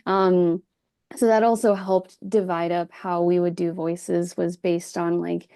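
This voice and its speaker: Mellow low-voiced woman author. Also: level tone, measured pace, clear, resonant tone, no room sound, clean studio sound. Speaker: guest author. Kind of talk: casual interview chat.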